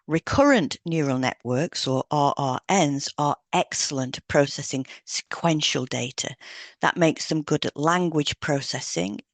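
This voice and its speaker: A woman's voice: deep voice, a little slow, no room sound. Woman explaining, close dry sound. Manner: explanatory, instructional. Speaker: podcast co-host, hypnotherapist. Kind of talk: scripted podcast narration with informal asides.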